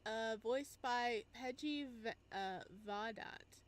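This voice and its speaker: A woman's voice: a little high, slow pace. A woman hosting a game playthrough, reading on-screen text aloud and reacting casually as she goes. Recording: clear background noise under the voice.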